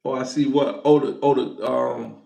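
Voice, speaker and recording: Livestream host, man, forties, gravelly, playful, repeats himself, roomy sound. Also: mid-range voice, steady tone, normal speed, mellow. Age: 40s. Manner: loose, playful, repeats himself, thanks viewers for gifts. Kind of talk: casual livestream chat.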